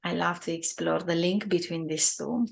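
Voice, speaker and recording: A woman hosting an interview podcast, asking slow, deliberate questions with some fillers. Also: lowish voice, fairly even intonation, gravelly. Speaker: podcast host.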